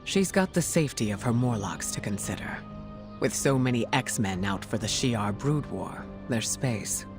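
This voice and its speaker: A woman's voice: a bass voice, lively intonation, measured pace, music in the background, full character voice. A woman playing a composed, dignified and caring mature superhero leader in video game dialogue, speaking graciously.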